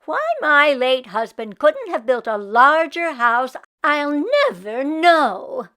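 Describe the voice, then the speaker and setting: A storybook old village mother, played by a woman reader, who wails and kvetches with great self-pity. Her high voice swoops up and down dramatically, and she drags out her grievances slowly. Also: lively intonation, bright, forceful tone, full character voice. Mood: wailing complaint. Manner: wailing, exaggerated complaining with Yiddish exclamations. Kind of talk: storybook character voice.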